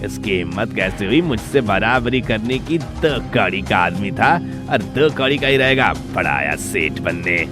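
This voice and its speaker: A man's voice: fairly low pitch, animated, normal speed, hardly any pauses, music in the background, natural voice. A man voicing a jealous, spiteful rich merchant villain in a cartoon, with a sneering, blustering manner.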